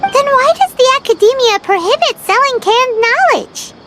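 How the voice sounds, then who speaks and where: A woman voicing a small, curious and blunt companion in video game dialogue, asking quick, teasing questions. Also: very high-pitched, lively intonation, very noisy. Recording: game dialogue over loud music.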